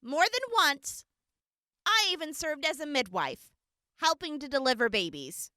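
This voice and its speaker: Woman acting a gentle earnest wasteland medic girl on the stand, high bright voice, big pauses, radio drama. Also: big pitch swings, no room sound, studio quality, full character voice. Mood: earnest, confessing. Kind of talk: audio drama courtroom testimony.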